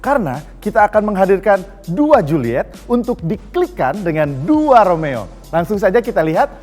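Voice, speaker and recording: A man's voice: high-pitched, sing-song, normal speed, darkish tone, in a large hall, faint music behind. A man hosting a dating show, playful and teasing with the guests. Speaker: host.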